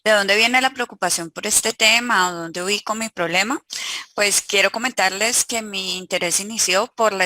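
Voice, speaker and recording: A woman giving an academic conference talk over a video call, pausing often with filler sounds. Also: on the low side, pushed and bright, dry recording. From Colombia. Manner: hesitant, many filler sounds. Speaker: academic presenter.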